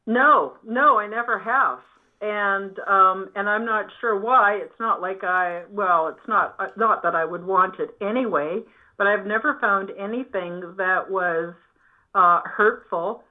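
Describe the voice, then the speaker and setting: A woman's voice: medium pitch, measured pace, phone-quality sound. A woman speaking as an interview guest in an earnest, reflective, conversational way about spiritual ideas.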